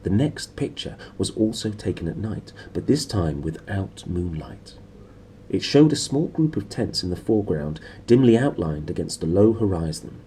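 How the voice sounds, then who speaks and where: A man's voice: lowish voice, dark tone. A man in his thirties reading a novel aloud in a small room. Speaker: reader. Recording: clearly noisy room recording.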